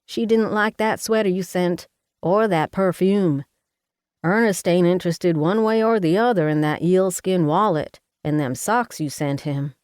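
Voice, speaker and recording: A woman's voice: fairly low pitch, measured pace, darkish tone, clear, resonant tone, no echo, clean studio sound, close to an everyday voice. A woman voicing a fussy, outspoken older mother, talking in a folksy, scolding way. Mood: fussing.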